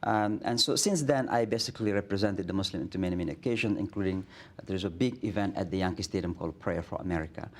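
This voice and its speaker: Middle-aged man, Indonesian accent, earnest guest storyteller, slightly low voice. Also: fast talker. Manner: earnest, many restarts and fillers, grows animated. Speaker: imam, guest. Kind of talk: studio interview, storytelling. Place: TV studio.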